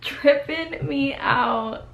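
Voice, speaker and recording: A woman's voice: high voice, extremely slow, gravelly, a bit bright, roomy sound, soft background music. A young woman, chatting casually to camera from her bedroom while getting over the flu, giggly and full of "like". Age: 20s. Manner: chatty, giggly, uses "like" and "y'all". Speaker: vlogger, college student.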